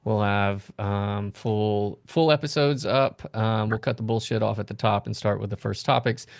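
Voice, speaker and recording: A man's voice: fairly low pitch, normal speed, creaky, no echo, studio quality. An American man hosting a comedy stream in casual, joking, sweary banter with friends. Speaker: host of a comedy talk stream.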